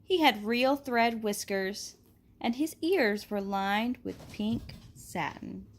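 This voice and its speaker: Woman gently reading a storybook aloud. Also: on the high side, slow, a little hiss or hum. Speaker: storybook reader. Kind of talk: reading a picture book aloud.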